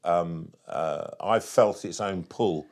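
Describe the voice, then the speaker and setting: A man interviewing in a conversational style, earnest and halting, repeating words for emphasis. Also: deep voice, a little mellow. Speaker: interviewer, host.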